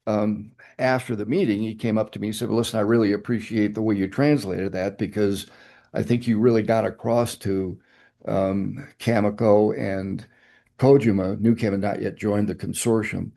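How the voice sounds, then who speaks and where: A man's voice: fairly low pitch, steady tone, normal speed, gravelly, mellow. An older man telling stories in an oral history interview, reflective with emphatic asides. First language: English. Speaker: interviewee, nuclear fuel executive.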